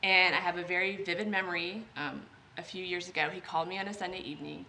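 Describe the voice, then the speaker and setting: A woman's voice: on the low side, steady tone, quick pace, sharp tone, low-quality recording. A middle-aged woman giving a prepared speech at a memorial gathering, composed and articulate. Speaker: family member giving a memorial reading. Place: memorial gathering at a retirement community. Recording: live speech with clear background noise.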